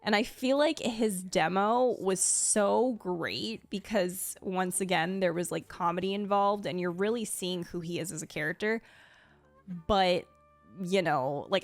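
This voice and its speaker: Expressive woman streamer over background music. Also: lowish voice. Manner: gushing, expressive, conversational. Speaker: streamer ranking game character demos. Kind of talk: casual reaction commentary. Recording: music playing behind the voice.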